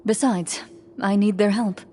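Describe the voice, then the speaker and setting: An actress playing a composed, stern yet encouraging hunter in a video game, speaking in a measured, assured way. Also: female voice, medium pitch, steady tone, dark tone, a little hiss or hum, close to an everyday voice. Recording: background music behind the voice.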